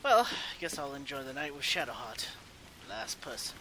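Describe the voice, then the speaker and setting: A man's voice: mid-range voice, lively intonation, moderate pace, background noise. A man giving casual let's play commentary on a video game, with some light roleplay.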